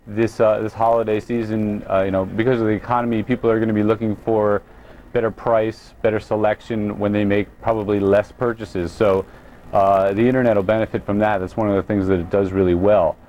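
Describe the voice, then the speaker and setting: A man with an American accent answering as an executive guest in a news interview, explaining things in a hesitant, halting way. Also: fairly low pitch, a little mellow. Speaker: company president, interview guest.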